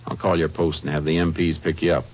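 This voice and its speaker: An actor playing a steady, hard-pressing male Texas Ranger who narrates and questions a suspect in a 1950s radio drama. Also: a bass voice, fast. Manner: clipped, firm, interrogating. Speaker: lead detective and narrator. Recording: muffled old radio broadcast.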